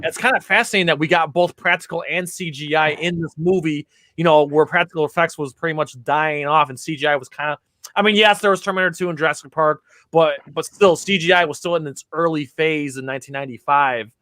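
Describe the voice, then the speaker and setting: A man chatting on a podcast, rambling eagerly through anecdotes with lots of fillers. His voice sits a little high, with a bright, forward ring. The sound is dry and close. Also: fairly even intonation, fast, no echo. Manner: enthusiastic, rambling storyteller with many fillers. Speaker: podcast co-host. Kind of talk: casual podcast chat.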